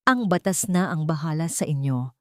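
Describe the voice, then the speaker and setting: A woman narrator voicing a wronged young woman who speaks with calm, weighty, bitter accusation. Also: deep voice, moderate pace, dry recording, clean studio sound. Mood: cold resolve.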